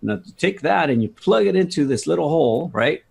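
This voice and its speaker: A man with a gravelly, husky voice giving a casual software walkthrough on a video call, thinking aloud hesitantly. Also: a little high, lively intonation, a little fast. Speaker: guest demonstrating software.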